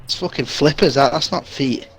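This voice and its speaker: A man's voice: medium pitch, normal speed, breathy edge, soft background music, low-quality recording. A man on voice chat bantering with the host, teasing and swearing for laughs. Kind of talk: casual banter.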